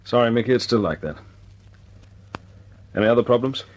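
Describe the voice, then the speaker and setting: A man's voice: medium pitch, animated, brisk, close to an everyday voice. A man playing an earnest RAF squadron leader. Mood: commanding. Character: earnest young RAF wing commander. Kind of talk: 1940s radio acting.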